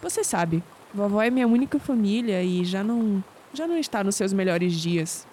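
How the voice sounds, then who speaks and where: An actress playing a guarded, teasing young woman researcher with a Brazilian accent in an audio drama, quick with sceptical retorts. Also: medium pitch, expressive, warm tone, a little hiss or hum, close to an everyday voice. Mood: reflective.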